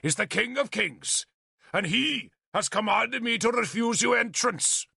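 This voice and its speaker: A man reading an audiobook voices a fanatical, wrathful bishop who booms his denunciations like a preacher, in a gravelly voice. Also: animated, moderate pace, sharp tone, no echo, clean studio sound, exaggerated voice. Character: fanatical middle-aged male bishop, booming and wrathful.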